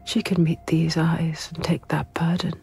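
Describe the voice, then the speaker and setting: A woman playing a grave, knowing narrator spirit in a video game, speaking in a hushed, deliberate, intimate way. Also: sits low, little pitch movement. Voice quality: breathy, whispery, creaky. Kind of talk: whispered dramatic game narration.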